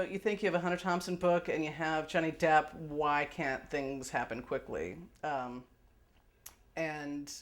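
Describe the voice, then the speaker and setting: A woman speaking candidly in an interview, wry and hesitant as she recollects. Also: low voice. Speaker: film production executive, interviewee.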